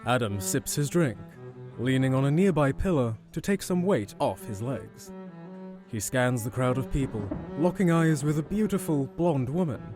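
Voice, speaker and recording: A man's voice: mid-range voice, expressive, normal speed, over music. A man narrating an audio drama from a script, as its storyteller.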